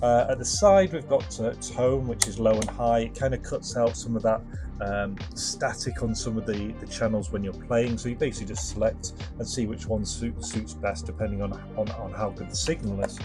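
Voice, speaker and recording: A man's voice: on the low side, moderate pace, music in the background. A man giving a casual product demo and review, explaining step by step in a hesitant, unscripted way. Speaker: presenter of a vintage radio restoration channel. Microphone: microphone set up for the demo.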